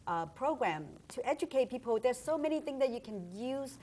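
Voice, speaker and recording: A woman's voice: high-pitched, animated, darkish tone. A woman guest from Vietnam, sincerely sharing personal experience in an interview. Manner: sincere, personal, slightly halting. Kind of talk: interview answers.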